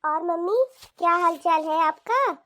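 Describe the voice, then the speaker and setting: A woman's voice: very high voice, lively intonation, a little slow, pushed and bright, no room sound, heavily acted. A woman voicing a caring, earnest young married woman with a pleading manner in a cartoon family drama. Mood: friendly. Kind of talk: animated family drama dialogue.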